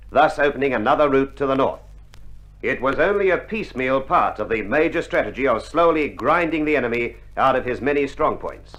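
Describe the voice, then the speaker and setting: A man giving formal, measured, scripted narration in a 1960s documentary style. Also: on the high side, a bit bright, poor audio.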